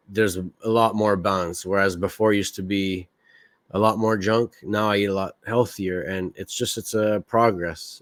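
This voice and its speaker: A man's voice: on the low side, no echo. A man from Quebec hosting a podcast, talking candidly and rambling with fillers. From Quebec, Canada. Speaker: podcast host. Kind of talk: casual solo podcast talk.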